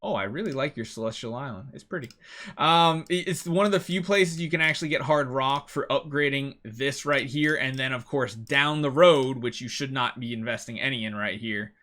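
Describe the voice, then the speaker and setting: A man's voice: medium pitch, moderate pace, pushed and bright. A man hosting a gaming video, giving casual, emphatic gameplay advice and repeating key words to drive points home.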